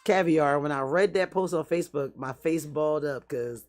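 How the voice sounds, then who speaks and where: A woman's voice: sits low, moderate pace, a little mellow, faint music behind. A woman co-hosting a podcast, chatting casually and laughing between her remarks. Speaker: podcast co-host. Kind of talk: casual chat.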